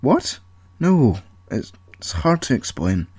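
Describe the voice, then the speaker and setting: A man's voice: mid-range voice, big pitch swings, slow, darkish tone, breathy edge, no room sound, full character voice. Man acting snappish, secretive inventor, dry recording. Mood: exasperated. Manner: snappish, exasperated. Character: cross, secretive male inventor, irritable and protective.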